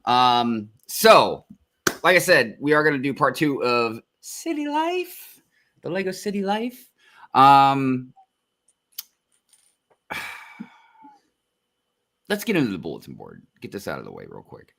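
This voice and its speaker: A man with a deep voice hosting a casual livestream chat, laid-back and joking as he talks to his co-host and thanks the viewers. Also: wildly swooping pitch, takes it slowly, long pauses, a bit bright. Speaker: show host.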